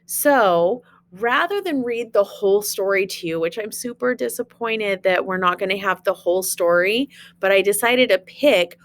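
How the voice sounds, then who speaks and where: A woman's voice: mid-range voice, lively intonation, moderate pace. A woman teacher reading a children's storybook aloud, expressively, with chatty asides and questions to young listeners.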